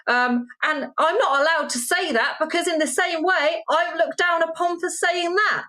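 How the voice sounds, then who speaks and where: Woman, high voice, gets fired up and emphatic when she's making a point, co-host vibe. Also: steady tone, moderate pace, sharp tone. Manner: emphatic, impassioned ranting. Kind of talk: casual social media commentary.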